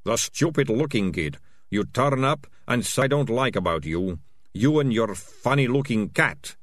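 Storybook thug: a man putting on a rough rat catcher, raised and menacing, dry sound. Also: medium pitch, animated, measured pace, dry recording, lightly acted. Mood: threatening. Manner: rough, threatening, common speech. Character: gruff bullying male rat catcher.

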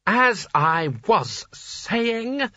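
A man voicing a pompous, irritable wizard ringleader in an audiobook, bossing people about briskly and with exasperation. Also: on the high side, very animated, sharp tone, no room sound, dull audio, pitched above the performer's own voice, full character voice. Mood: pompous, irritated.

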